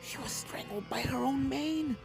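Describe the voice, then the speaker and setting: A woman voicing a plucky, reckless young filly ghost hunter with an excitable, impulsive manner in a fan story reading. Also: sits high, measured pace, gravelly, voice pushed up. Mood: shocked. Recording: game music under the voice.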